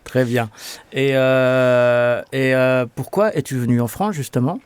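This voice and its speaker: A man's voice: mid-range voice, measured pace, clean tone, no room sound. Chatty male interview host. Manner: friendly, questioning, many fillers.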